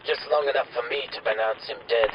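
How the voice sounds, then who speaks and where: A man's voice: medium pitch, quick pace, noisy background, natural voice. A man playing a corruptible doctor and secret lover in a radio melodrama, earnest and theatrical. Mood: conspiratorial. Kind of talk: old-time radio melodrama acting. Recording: muffled, loud music behind.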